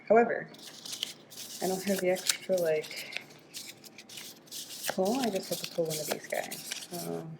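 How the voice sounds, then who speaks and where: A woman's voice: fairly low pitch, background noise. A woman book vlogger chatting casually and informally to camera, thinking aloud as she goes. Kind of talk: casual chat to camera while playing a reading-list game.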